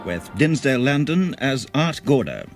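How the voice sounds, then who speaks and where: A man's voice: mid-range voice, big pitch swings, normal speed. A man formally introducing a radio drama as the programme announcer. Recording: loud music behind the voice. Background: loud music.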